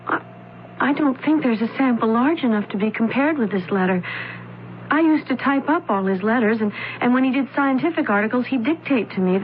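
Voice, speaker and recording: A woman's voice: a little high, animated, a little fast, natural voice. On a dull, faintly hissy old radio recording, a young woman played by an actress speaks with polite, anxious earnestness. She is hesitant and stammers slightly, in the style of a radio mystery. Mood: anxious, earnest. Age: young adult. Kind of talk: radio mystery drama acting.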